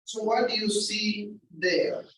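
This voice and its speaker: A male chaplain with an accent giving a deliberate teaching talk, putting questions to his listeners. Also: high-pitched, a bit bright. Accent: non-native English accent. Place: large echoey hall. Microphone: distant from the mic. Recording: echoey hall from far off, faint noise.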